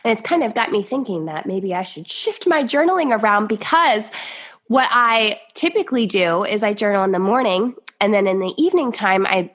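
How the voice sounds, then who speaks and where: A woman hosting a podcast, a little nasal from sinus congestion, bubbly and quick with praise. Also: medium pitch, animated, fairly bright tone. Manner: bubbly, laughs often, gushing praise. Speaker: podcast host. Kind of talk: casual interview chat.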